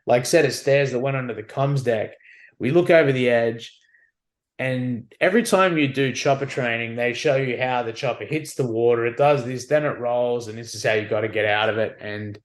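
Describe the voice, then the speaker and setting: Aussie guy spinning yarns about army days on a podcast, laid back, does the voices. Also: mid-range voice. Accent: Australian. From Australia. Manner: relaxed, candid, acts out quotes. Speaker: guest, army veteran. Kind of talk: casual storytelling chat.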